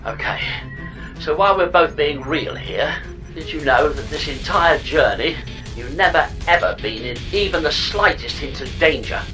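An actor playing a smug, sardonic artificial pod companion with a deadpan, wry manner in a comic sci-fi audio drama. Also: mid-range voice, moderate pace, close to an everyday voice. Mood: dry, frank. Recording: music under the voice.

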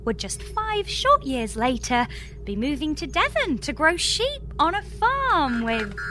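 A woman's voice: high-pitched, sing-song, measured pace, over music. A woman playing a young space engineer with a British accent, earnest and exasperated, in a scripted comedy audio drama. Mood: distracted, earnest.

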